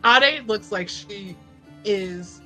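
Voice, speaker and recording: A woman narrating a character in a tabletop roleplaying game, casually improvising in a halting, emphatic, conversational way. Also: mid-range voice, sharp tone, soft background music.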